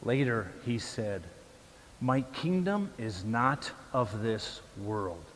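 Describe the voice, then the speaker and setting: A man playing a troubled, self-justifying Roman governor in a church dramatic monologue, speaking emphatically and deliberately. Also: mid-range voice, animated, slight background noise. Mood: subdued. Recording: echoey church hall with long reverb.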